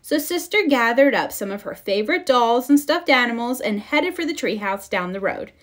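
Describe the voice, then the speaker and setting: Woman, children's storybook narrator, high voice, very animated intonation, expressive. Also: moderate pace. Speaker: storybook reader.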